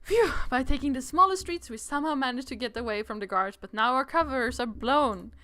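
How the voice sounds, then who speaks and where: A woman game streamer reading a visual novel aloud with playful commentary, expressive and giggly. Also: high voice, fairly quick, no room sound.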